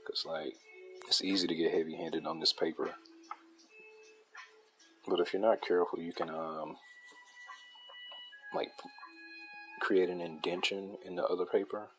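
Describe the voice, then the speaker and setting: Male streamer-artist, very low soothing voice, relaxed rambling chat. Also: measured pace, pauses often, faint music behind, rough recording. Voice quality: deep, soothing voice. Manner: laid-back, loose and chatty, with laughs and restarts. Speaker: artist drawing a comic on a livestream. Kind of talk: casual rambling chat while drawing.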